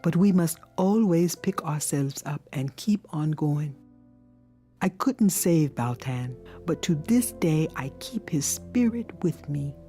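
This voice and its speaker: An actress playing a wise, gentle older woman mentor in a video game, with measured, soft, solemn delivery. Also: sits low, lively intonation, faint music behind. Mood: tender, sorrowful. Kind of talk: dramatic video game acting.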